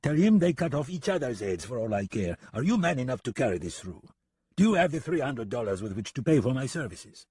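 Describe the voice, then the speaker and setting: A man performs a French gunman from a western audiobook. He has a French accent and a mocking, swaggering, unhurried delivery. The voice rides higher than plain narration and sounds dry. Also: sing-song, warm tone, breathy edge, no room sound. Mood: sly, boastful. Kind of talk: western audiobook character acting.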